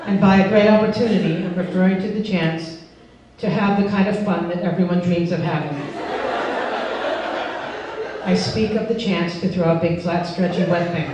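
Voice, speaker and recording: A woman's voice: fairly low pitch, fairly even intonation, moderate pace, long pauses, natural voice. Woman reading as pushy, obsessive dog, echoey hall. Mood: earnest, coaxing. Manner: pompous, wheedling, insistent formal phrasing. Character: obsessive, pushy, self-centred male dog pleading to play. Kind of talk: live comic story reading. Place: live benefit event before a laughing audience. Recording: echoey noisy hall, far from mic.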